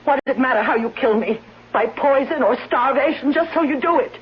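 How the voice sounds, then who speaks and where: Casting: woman to play distraught invalid wife, high voice, bitter and accusing; vintage radio mystery, muffled hissy sound. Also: brisk, lightly acted. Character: sickly, suspicious, accusing wife in fear of poisoning. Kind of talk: old-time radio drama acting. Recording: muffled, hissy, humming old radio recording.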